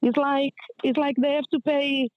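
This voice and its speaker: A woman from Angola who grew up in Portugal, speaking with a Portuguese accent as a guest on a podcast, earnest and halting with many restarts. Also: high-pitched, flat delivery, dry recording. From Angola, raised in Portugal. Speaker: guest, community health manager. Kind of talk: podcast interview over a call. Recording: tinny phone-line audio.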